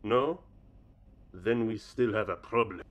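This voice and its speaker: A young man doing rapid character impressions in a theatrical manner. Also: on the low side. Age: young adult. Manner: theatrical, shifting between character voices. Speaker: amateur voice impressionist. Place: small indoor room.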